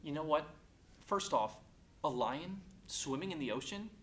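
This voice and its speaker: A man's voice: fairly high pitch, lively intonation, measured pace, a little hiss or hum. A young male student actor playing a cocky man delivering an emphatic, mock-logical comic rant full of boastful threats. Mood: argumentative, mocking. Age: young adult. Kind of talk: performed comedic film monologue.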